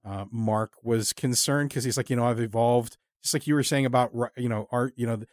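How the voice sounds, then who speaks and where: A man with a mid-pitched voice hosts a relaxed, conversational interview. He talks earnestly and ramblingly, often halting as he works toward his point. The recording is close and dry. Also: no echo. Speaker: podcast host and interviewer. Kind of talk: casual interview chat.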